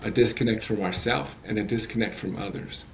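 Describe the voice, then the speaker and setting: A man in his late thirties with a lowish voice speaks earnestly, wandering through his points with plenty of fillers and restarts. A faint hiss of room ambience sits under him. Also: a little fast, creaky. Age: 30s. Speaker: guest, resilience coach. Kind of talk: podcast interview.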